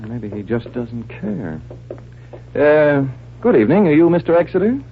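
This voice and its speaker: An actor playing a composed, inquisitive doctor-detective with a measured, authoritative manner in an old-time radio drama. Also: male voice, on the high side, sing-song, husky, full character voice. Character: composed, inquisitive male doctor and criminal sleuth. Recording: dull, hissy vintage radio recording.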